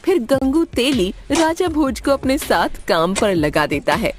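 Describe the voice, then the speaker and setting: A woman's voice: high-pitched, big pitch swings, unhurried. A woman narrator reading a scripted moral story for a cartoon. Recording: music behind the voice.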